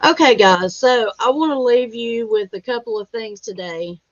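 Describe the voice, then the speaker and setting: A woman's voice: fairly high pitch, normal speed, dry recording. A woman hosting a casual livestream chat, folksy in the way she addresses her viewers. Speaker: livestream host. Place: indoors at a computer. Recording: muffled, dull livestream audio.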